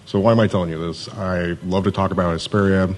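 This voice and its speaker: Low-voiced monotone Californian man presenting. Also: quick pace, a little hiss or hum. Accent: Californian American. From Southern California, US. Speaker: city economic development manager. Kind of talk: live informational presentation.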